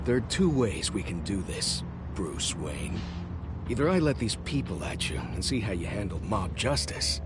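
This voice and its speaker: A man's voice: fairly low pitch, background noise. A man playing a smug extortionist thug in a video game, taunting in a folksy way.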